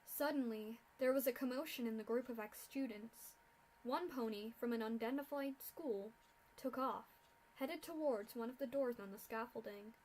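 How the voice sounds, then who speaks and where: A young woman narrating a fan-fiction story aloud in a steady reading voice. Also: fairly high pitch, moderate pace, a little hiss or hum, rough recording. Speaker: fanfiction reader.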